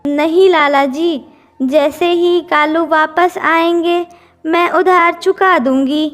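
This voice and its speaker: A woman's voice: very high-pitched, fairly even intonation, slow, a bit bright, soft background music, full character voice. A woman voicing a humble, earnest mother bird in a children's cartoon, speaking politely and pleadingly. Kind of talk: Hindi children's cartoon dubbing.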